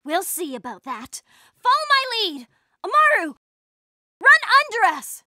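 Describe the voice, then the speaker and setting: An actress voicing a brave teenage heroine who gives orders in an earnest, commanding way. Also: female voice, extremely high pitch, sing-song, measured pace, sharp tone, no echo, studio quality, full character voice. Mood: determined. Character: brave teenage heroine, level-headed leader. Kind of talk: animated series voice acting.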